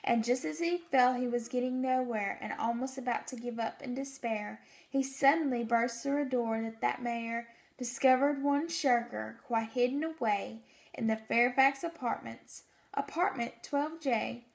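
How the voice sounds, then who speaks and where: Woman, teacher, high voice, creaky edges, deliberate, expressive storybook reading. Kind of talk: storybook narration and instructions to children.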